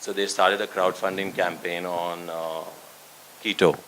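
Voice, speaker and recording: A man's voice: low voice, flat delivery, unhurried, fairly bright tone, slight background noise, rough recording. A man, a documentary filmmaker answering interview questions conversationally. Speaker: documentary director and writer.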